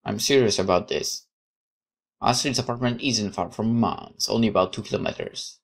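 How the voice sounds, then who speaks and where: A woman's voice: rumbling low, normal speed, creaky. A woman reading a visual novel aloud expressively, with casual commentary as she plays.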